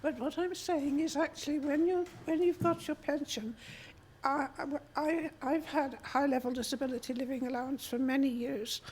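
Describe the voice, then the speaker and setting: A woman in her seventies in the audience, speaking softly and reassuringly about growing older. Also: high voice, fairly even intonation, normal speed, slight background noise. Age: 70s. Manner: soft-spoken, reassuring. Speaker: audience member. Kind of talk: question-and-answer comment.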